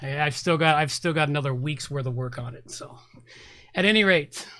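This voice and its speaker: A man's voice: fairly high pitch, fairly quick, bright, forceful tone, dry recording. A man hosting a podcast, talking casually and informally in a rambling way as he gives announcements. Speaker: podcast host.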